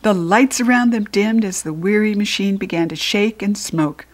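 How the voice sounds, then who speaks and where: An American woman reading a children's story aloud in an expressive, playful and informal way, as a narrator. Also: mid-range voice, moderate pace.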